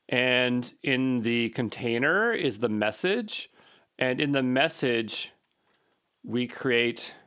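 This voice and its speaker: A man's voice: mid-range voice, fairly bright tone. An informal man presenting a coding tutorial in a mid-range voice. He talks through each step as he goes, often muttering to himself in a casual, thinking-aloud way.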